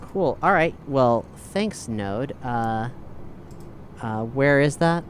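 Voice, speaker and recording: A man chatting casually as a tabletop role-playing player, often halting and correcting himself. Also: mid-range voice, animated, a little hiss or hum. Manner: halting, self-correcting speech. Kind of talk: casual in-game chat.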